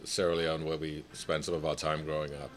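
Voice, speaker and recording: A man from Nigeria with a very deep, low voice talks through his career as a chef. He speaks in a measured, reflective way and drops in a light laugh now and then. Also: little pitch movement, faint music behind. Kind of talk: casual interview.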